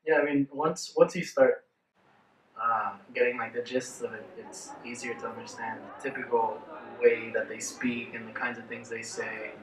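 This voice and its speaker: A man's voice: medium pitch, fairly even intonation, moderate pace. A man in his twenties talking casually and hesitantly, repeating words, as a student interviewee. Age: 20s. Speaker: study-abroad student. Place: living room, indoors. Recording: roomy, distant mic.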